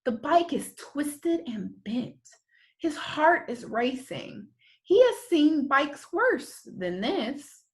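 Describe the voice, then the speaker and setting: A woman reading a children's story aloud as a storyteller, with expressive, deliberate phrasing and dramatic emphasis. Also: high voice.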